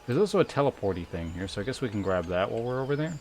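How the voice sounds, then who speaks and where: A man's voice: lowish voice, very animated, rapid-fire, a little hiss or hum. A man giving casual, rambling commentary on a game livestream, dryly sarcastic and swearing freely. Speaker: game streamer. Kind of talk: casual livestream gameplay commentary, reading chat aloud. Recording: music behind the voice. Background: game music.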